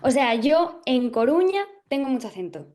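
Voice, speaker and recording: A young woman from Galicia, an actress, talking through an interview thoughtfully, with frequent pauses and fillers as she searches for words. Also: sits high, sing-song, darkish tone. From Galicia, Spain. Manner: thoughtful, hesitant, many fillers and restarts. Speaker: actress guest. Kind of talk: casual interview chat.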